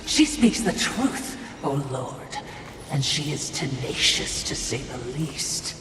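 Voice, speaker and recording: An actress playing a sly, obsequious demonic watcher spirit in a fantasy game, deferential with a sardonic edge. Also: female voice, a bass voice, sing-song, takes it slowly, exaggerated voice. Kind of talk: theatrical fantasy game cutscene acting. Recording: heavy echo, distant, music underneath.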